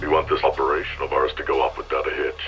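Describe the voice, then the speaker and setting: A menacing hijacker speaks in a very low, flat voice at a quick clip. Loud film-trailer music sits behind the voice. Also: male voice, lo-fi sound. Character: cold hijacker leader, adult man.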